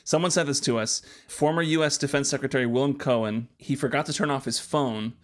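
A man's voice: medium pitch, brisk. A man co-hosting a podcast, chatting in an informal, conversational way. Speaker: podcast co-host. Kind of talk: casual podcast chat.

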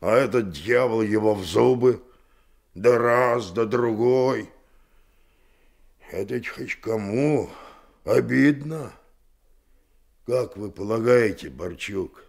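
An actor playing a talkative, kindly convict in a Russian radio drama, with folksy, rambling peasant speech. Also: male voice, medium pitch, slow pace, vocal fry, long pauses, a little mellow. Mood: indignant, aggrieved. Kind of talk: Soviet radio drama acting.

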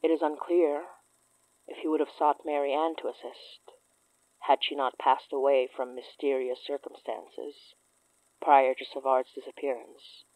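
A performer playing a solemn chronicler in a horror audio drama, reading a dossier in a measured, formal, deliberate way. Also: mid-range voice, a little mellow, no room sound, lightly acted, low-quality recording.

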